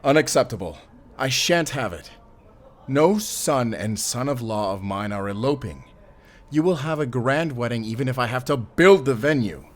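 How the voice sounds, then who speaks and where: Man acting a commanding, doting father, swinging pitch. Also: animated, normal speed, close to an everyday voice. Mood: indignant commanding. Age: middle-aged. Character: wealthy, doting, commanding father of a grown son. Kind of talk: audio drama acting.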